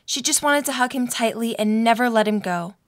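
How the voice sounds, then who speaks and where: A woman's voice: on the high side, fairly quick. A young adult woman reading scripted story narration for an audio drama.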